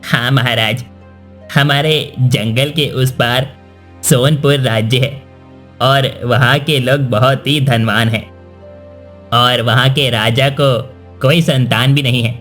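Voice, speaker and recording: A man acting a formal, mid-pitched royal minister. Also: steady tone, slow, a bit bright, faint music behind. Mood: deferential. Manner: respectful, formal. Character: deferential royal minister advising his king. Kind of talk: cartoon dubbing.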